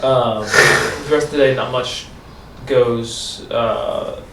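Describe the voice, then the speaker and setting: A man improvising narration as a tabletop game master, halting and pausing mid-description. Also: mid-range voice, steady tone. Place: echoey room, live-streamed game session. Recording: roomy, distant mic, noisy background.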